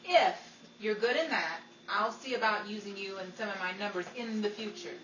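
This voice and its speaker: Woman acting a bright, sassy radio showgirl, echoey. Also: mid-range voice, normal speed, natural voice. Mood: exasperated, sassy. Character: brisk, sassy Hollywood showgirl and radio presenter. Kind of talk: old-time radio comedy acting and newsflash narration. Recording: echoey, distant recording with faint noise.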